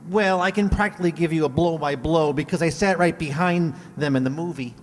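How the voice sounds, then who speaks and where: Earnest chatty high school lad acted by a man, high voice, 1940s radio sitcom style, roomy hall sound. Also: brisk, a little hiss or hum, close to an everyday voice. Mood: eager, gushing. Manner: earnest, gushing. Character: eager, talkative teenage high school boy. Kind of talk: 1940s radio comedy acting.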